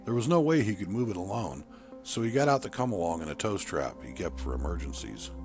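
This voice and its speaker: Low-voiced man narrating a story over music. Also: big pitch swings, brisk. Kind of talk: scripted audiobook narration. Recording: music bed under voice. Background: background music.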